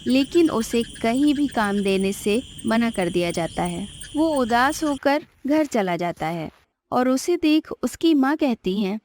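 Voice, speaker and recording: A woman's voice: on the high side, animated, a little slow, darkish tone, dry recording. A woman narrating a scripted moral cartoon story as its storyteller.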